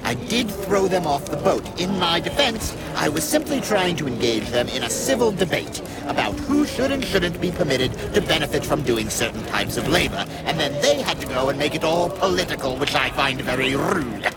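Man playing a high-voiced, courteous undead wanderer. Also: lively intonation, normal speed, hardly any pauses, loud music behind the voice. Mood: defensive. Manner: courteous, hesitant, matter-of-fact. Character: mild, polite undead wanderer with rotted face, evasive. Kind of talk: audio drama acting.

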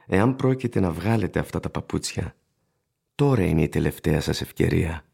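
An actor playing an ageing, plain-spoken shoe shiner in a radio play, courteous and gravely cautioning, with a husky, gravelly voice. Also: male voice, low voice, lively intonation, warm tone, exaggerated voice. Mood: grave warning. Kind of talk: radio drama acting.

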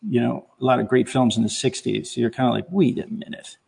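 Husky, creaky-voiced man in casual chat. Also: mid-range voice, flat delivery, quick pace, darkish tone. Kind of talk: casual chat, film recollections.

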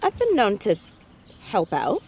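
A woman's voice: mid-range voice, wildly swooping pitch, a little slow, a little mellow, slightly rough, heavily acted. A woman playing an earnest, inquisitive fourteen-year-old girl amateur detective in a naturalistic audio drama.

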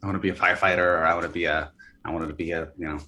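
A man's voice: sits low, very fast. A man in his early thirties, a company founder, talking casually in an interview with lots of fillers and restarts. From California and Hawaii. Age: early 30s. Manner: relaxed, hesitant, many fillers and restarts.

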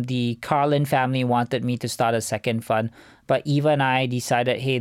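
A man answers interview questions in a measured, businesslike way. His voice sits at a medium pitch for a man, and the recording is close and dry, with very little room sound. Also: smooth, no echo. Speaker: venture capital fund co-founder, interview guest.

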